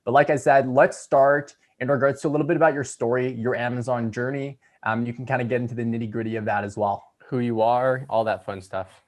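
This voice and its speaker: A man's voice: medium pitch, fairly even intonation, a little fast. A young man hosting a casual startup podcast, talking in an upbeat, enthusiastic way. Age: young adult. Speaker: podcast host.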